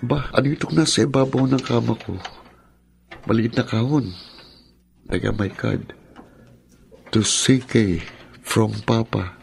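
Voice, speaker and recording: A man's voice: medium pitch, measured pace, vocal fry, pauses often, over music. A Filipino man acting an affectionate, earnest teenage younger brother in a radio drama. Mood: curious, excited.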